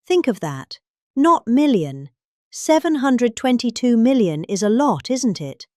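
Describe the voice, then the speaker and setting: A woman's voice: on the high side, darkish tone, no room sound, studio quality. British woman reading with big, swooping emphasis. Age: middle-aged. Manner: exaggerated emphasis. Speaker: reader. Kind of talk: dramatic reading of a political speech.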